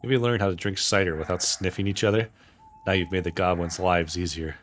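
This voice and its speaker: A man's voice: low voice, fairly even intonation, brisk, fairly bright tone, slight background noise. A man voicing a practical, blunt, teasing male hunter in a visual novel, speaking matter-of-factly. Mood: irritated. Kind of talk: visual novel character read aloud.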